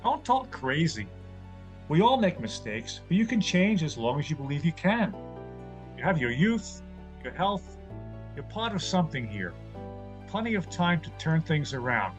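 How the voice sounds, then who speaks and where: A man playing an aging, weary, gentle mouse who speaks as a calm, fatherly mentor in an audio drama. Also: on the high side, moderate pace, faint music behind. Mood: encouraging.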